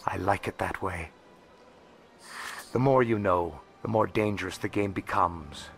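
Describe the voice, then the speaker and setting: An actor playing a shrewd, cautious alien information broker in a video game, measured and knowing. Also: male voice, low-pitched, vocal fry, a bit bright, faint music behind, heavily acted. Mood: shrewd, guarded. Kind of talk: video game character dialogue.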